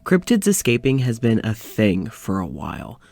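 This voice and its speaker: A man playing an uneasy, weary scientist in an audio drama, confiding to a recorder in a halting way. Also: on the low side, huge pitch swings, gravelly, a little mellow, faint music behind, exaggerated voice. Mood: weary, resigned. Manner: hesitant, halting, sighing. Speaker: fiction podcast lead actor. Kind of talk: audio drama, confessional monologue.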